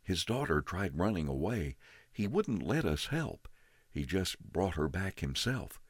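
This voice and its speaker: A man voicing a wary neighbor who speaks in a hush. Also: sits low, very animated, slow pace, slightly rough, dry recording, studio quality, voice pushed up, heavily acted. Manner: hushed. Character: wary male neighbor, secretive.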